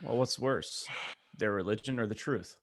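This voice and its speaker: A man's voice: on the low side, moderate pace. A man co-hosting a comedy podcast, bantering casually with the others. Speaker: podcast co-host.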